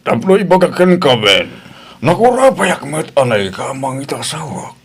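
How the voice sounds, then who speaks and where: A man's voice: on the high side, big pitch swings, measured pace. A man playing a kindly, direct father of a grown daughter in an audio drama, frank and insistent, with a Filipino accent. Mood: frank, coaxing.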